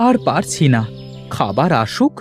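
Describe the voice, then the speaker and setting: A man's voice: on the high side, wildly swooping pitch, measured pace, soft background music. A man voicing a poor, hardworking village farmhand and devoted husband in a cartoon, earnest, deferential and plaintive. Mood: hungry, weary. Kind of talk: Bengali moral-story cartoon voice acting.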